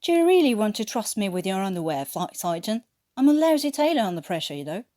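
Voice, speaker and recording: Woman acting male English prisoner of war, storybook corporal, English accent, cheeky, smug, nonchalant, lively pitch. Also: fast, dry recording, studio quality, natural voice. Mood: smug teasing.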